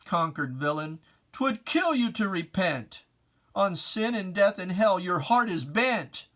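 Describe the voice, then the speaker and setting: Slow, dramatic, solemn poetry recitation. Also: medium pitch, sing-song, a bit bright. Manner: solemn, theatrical, declamatory, with weighty pauses. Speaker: reader of poetry. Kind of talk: dramatic recitation of religious rhyming poem.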